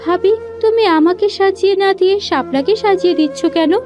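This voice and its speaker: A woman playing an innocent, wronged young village bride in a cartoon fairy tale, gentle and plaintive. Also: very high voice, a little fast. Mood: hurt. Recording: background music under the voice.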